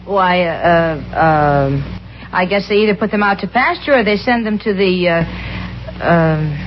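A woman's voice: lowish voice, animated, measured pace. An actress in a 1950s radio sitcom playing a sensible young woman who talks to her friend in a soothing, hesitant way. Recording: dull lo-fi 1950s radio broadcast with hiss. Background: studio audience laughter.

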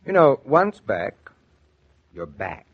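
A man's voice: mid-range voice, very animated, slow pace, breathy edge, narrow, tinny audio, exaggerated voice. A man playing a kindly, persuasive family friend and colleague in a 1940s radio drama. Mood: persuasive, reassuring.

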